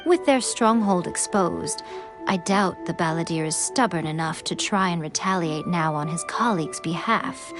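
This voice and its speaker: An actress playing a teasing, elegant, centuries-old fox shrine maiden in a video game, sly, languid and condescending. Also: female voice, fairly low pitch, normal speed, lightly acted. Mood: calm, explanatory. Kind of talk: video game character dialogue. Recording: game music behind the voice.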